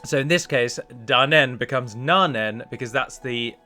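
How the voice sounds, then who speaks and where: A man's voice: medium pitch, moderate pace, bright, forceful tone, soft background music. A man giving chatty, self-deprecating commentary as he plays and records a let's play on a close microphone. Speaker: gaming video host and mod developer.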